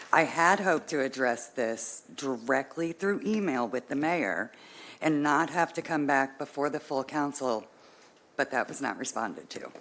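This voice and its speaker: A woman with a very low voice addresses a city council from the podium. She speaks in a firm, measured way. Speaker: public commenter. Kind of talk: prepared public comment.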